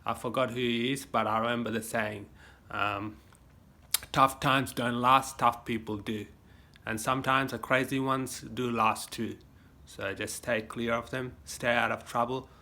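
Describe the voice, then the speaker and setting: Australian male vlogger, phone mic, tense bright tone, loose rambling delivery. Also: medium pitch, steady tone, a little slow. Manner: rambling, folksy advice with many fillers and restarts. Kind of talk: casual rambling monologue to a phone camera. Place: home gym. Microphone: phone camera.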